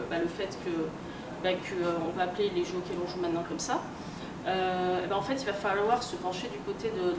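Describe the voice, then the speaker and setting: A woman lectures in a roomy, echoing space, picked up a distance over clear background noise. Her delivery is colloquial and explanatory. She hesitates often and rephrases as she goes. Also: medium pitch. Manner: hesitant, colloquial, self-correcting. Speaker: conference speaker, researcher and editor. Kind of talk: informal explanatory lecture with examples. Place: live talk in a room at a convention. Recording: roomy, distant, noisy live conference recording.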